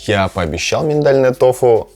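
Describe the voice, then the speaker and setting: A man's voice: medium pitch, lively intonation, normal speed, a little mellow, faint music behind. A man hosting a gaming and cooking vlog, chatting casually and playfully, sometimes to his cat. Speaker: host of a gaming and cooking channel. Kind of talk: casual chat to camera with gameplay reactions.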